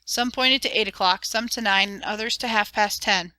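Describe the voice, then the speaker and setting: A woman narrating an audiobook with a clear, expressive reading. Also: mid-range voice, moderate pace, dry recording. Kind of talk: storybook narration.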